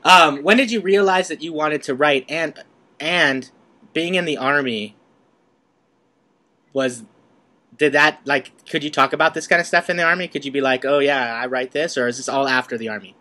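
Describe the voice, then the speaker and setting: Bright-voiced chatty male host. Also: a little high, normal speed. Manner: chatty, laughs easily, rambling questions. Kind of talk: casual interview chat.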